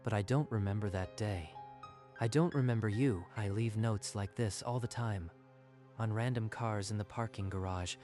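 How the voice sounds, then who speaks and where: A man's voice: fairly low pitch, moderate pace, warm tone, lightly acted. Man acting a reserved, bewildered office worker. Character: reserved, bewildered male office carpool passenger. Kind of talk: dramatic manga dub acting. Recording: music under the voice.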